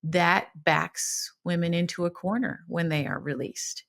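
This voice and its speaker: A woman's voice: on the low side, a little slow, creaky. A woman hosting a podcast, asking questions and affirming her guest, with fillers as she talks. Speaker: podcast host. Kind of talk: conversational interview.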